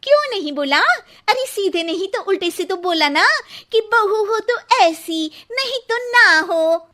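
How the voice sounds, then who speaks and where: A woman's voice: very high voice, expressive, unhurried, pushed and bright, heavily acted. A woman playing a feisty, sarcastic young daughter-in-law in a cartoon, argumentative and theatrical. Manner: theatrical, argumentative back-talk. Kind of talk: exaggerated Hindi cartoon family drama.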